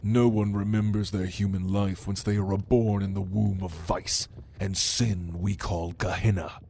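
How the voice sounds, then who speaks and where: A man playing a haughty, hungry demon who was a prince's right hand, speaking slowly and intimidatingly to the listener in an ASMR audio roleplay. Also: low voice, fairly even intonation, creaky, dark tone, a little hiss or hum. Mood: stern, explanatory. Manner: slow, deliberate, intimidating, dryly teasing.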